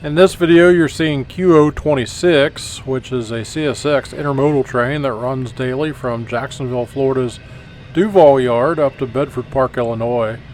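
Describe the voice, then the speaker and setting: A man's voice: medium pitch, moderate pace, a little mellow. Casual man narrating over background noise. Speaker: host of a railfan video channel.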